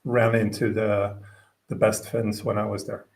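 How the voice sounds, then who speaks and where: A man from Finland whose first languages are Swedish and Finnish, a podcast guest chatting casually, halting with many fillers and restarts. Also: on the low side, little pitch movement, creaky, a little mellow, distant mic. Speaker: podcast guest, gym owner. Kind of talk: casual interview chat.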